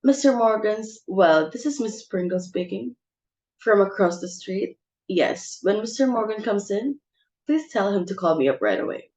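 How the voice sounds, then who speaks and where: A woman's voice: mid-range voice, expressive, moderate pace, a little mellow, lightly acted. A woman playing a bossy, social-climbing society mother with theatrical exclamations in an amateur stage comedy reading. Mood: brisk, bossy.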